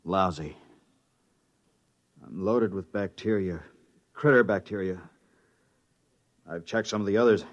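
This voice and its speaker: An actor playing a glum, weary expedition scientist with a halting, understated delivery in a 1950s radio drama. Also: male voice, sits low. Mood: sick, weary. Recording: dull old radio recording.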